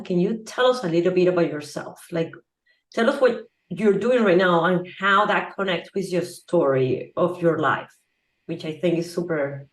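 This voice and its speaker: Friendly woman host, slightly low voice. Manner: friendly, conversational. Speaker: podcast host. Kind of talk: interview hosting. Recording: roomy echo.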